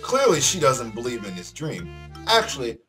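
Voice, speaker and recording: A man's voice: mid-range voice, huge pitch swings, normal speed. A man reading a visual novel aloud as a gaming host, casually commenting and tripping over words. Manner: stumbles over words and jokes about it. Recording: music behind the voice. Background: game music.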